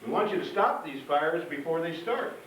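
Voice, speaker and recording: A man with a fairly high, animated voice presents to children in a plain, folksy way. He repeats his points and checks in with questions. The recording is echoey, as at a live show. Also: a little fast. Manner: repetitive, plain-spoken, asking the audience questions. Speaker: firefighter hosting a fire safety show for children. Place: live show at a fire station. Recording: echoey, distant mic, noisy live show.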